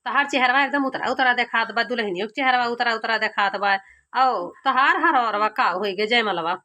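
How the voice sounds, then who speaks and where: A woman's voice: fairly high pitch, normal speed, bright, forceful tone. A woman voicing a coaxing, practical village mother with an Awadhi accent in a cartoon comedy. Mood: puzzled. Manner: coaxing, questioning.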